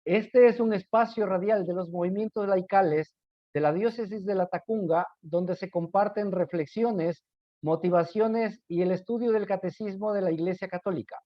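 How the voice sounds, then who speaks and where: High-voiced Ecuadorian man presenting formally on radio. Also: normal speed, no room sound, dull audio. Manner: formal, courteous. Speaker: radio host. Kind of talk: scripted radio presenting and interviewing.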